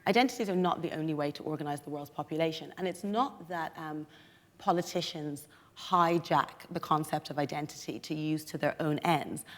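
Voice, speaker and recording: A woman with a softened Irish accent speaking analytically and articulately as a panellist in a live discussion in a theatre. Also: low voice, brisk. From Dublin, Ireland. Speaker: debate panellist. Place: on stage in a theatre. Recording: echoey theatre hall.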